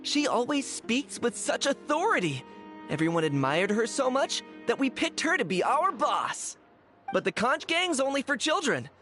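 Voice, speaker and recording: A woman playing a cheeky, warm-hearted youngster from a street gang in a video game. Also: on the high side, very animated, normal speed, faint music behind. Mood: admiring. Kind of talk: video game character dialogue.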